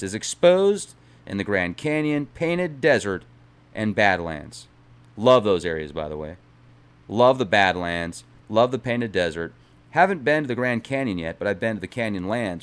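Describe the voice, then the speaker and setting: A man reads a book aloud on a stream, his pitch swinging widely as he leans into the drama of the text. His voice sits at an ordinary middle pitch. Every so often he breaks off into relaxed, chatty digressions to his viewers before going back to the page. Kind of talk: reading a book aloud with casual asides.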